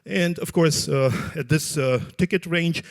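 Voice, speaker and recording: A man with a Romanian accent, presenting an investment fund in a stage pitch as a venture capitalist. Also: unhurried.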